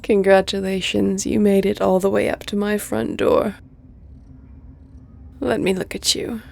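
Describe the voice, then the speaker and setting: A woman voicing a flirtatious, predatory vampire lady in an intimate audio roleplay, teasing and haughty, often sinking into a breathy whisper. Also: mid-range voice, flat delivery, unhurried, darkish tone, a little hiss or hum. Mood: taunting.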